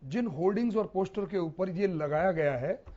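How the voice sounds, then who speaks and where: Halting male analyst with a mellow voice. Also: high voice, lively intonation. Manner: halting, explanatory, frequent hesitations and repetitions. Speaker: political analyst, panel guest. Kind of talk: unscripted television debate commentary. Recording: slightly dull, lo-fi broadcast audio.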